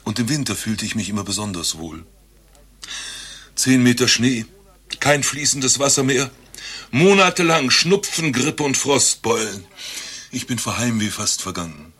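Husky, forceful deadpan man. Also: medium pitch, a little slow. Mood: nostalgic, musing. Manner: deadpan, grandiose storytelling. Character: eccentric doctor claiming to be Rasputin reincarnated. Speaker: doctor recounting his past life. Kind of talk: satirical radio play acting and narration.

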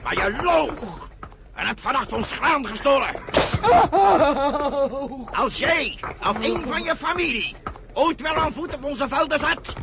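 A proud, fiery young male settler in a radio drama. His voice sits high and moves through a very lively range, commanding and emphatic. The old recording is clearly noisy. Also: unhurried, pauses often, heavily acted. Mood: furious, threatening. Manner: commanding, emphatic, declamatory when roused.

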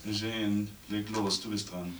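An actor as a bossy low-voiced radio director. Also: male voice, level tone, unhurried, very noisy, close to an everyday voice. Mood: curt, commanding. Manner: curt, formally courteous. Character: brisk, pedantic, bossy male radio director. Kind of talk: 1990s German radio play acting.

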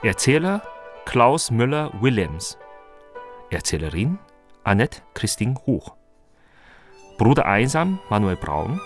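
A man reading the scripted closing credits of a radio play. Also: on the low side. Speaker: credits announcer.

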